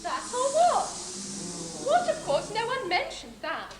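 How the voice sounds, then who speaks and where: Unimpressed panto tribeswoman, played by a woman, high lively voice, dry retorts, echoey amateur stage. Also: unhurried, exaggerated voice. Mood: sardonic. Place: theatre stage. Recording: echoey, noisy stage recording.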